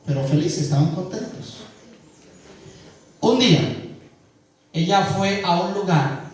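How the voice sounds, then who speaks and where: A man's voice: sits high, a little mellow. A middle-aged man with a Colombian Caribbean coast accent preaching a sermon as pastor, earnest and colloquial, asking the congregation questions. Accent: Colombian Caribbean coast (costeño). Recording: very echoey church hall, mic far off.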